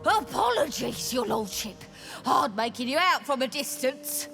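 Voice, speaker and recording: A woman voicing a rough, wary goblin sentry in a video game, blunt but quick to grovel to her betters. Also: high-pitched, sing-song, a bit bright, exaggerated voice. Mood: grovelling. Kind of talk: video game character acting. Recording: game audio with music behind.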